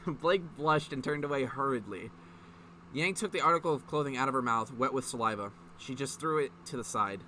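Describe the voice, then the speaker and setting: A man's voice: medium pitch, normal speed, bright, forceful tone, a little hiss or hum. A man reading a story aloud in a mocking, informal way, breaking off to add sweary, incredulous commentary. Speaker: online video host reading fan fiction aloud.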